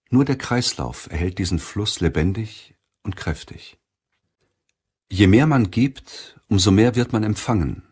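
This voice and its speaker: Low-pitched man narrating an audiobook. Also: husky. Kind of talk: scripted audiobook narration of a self-help book.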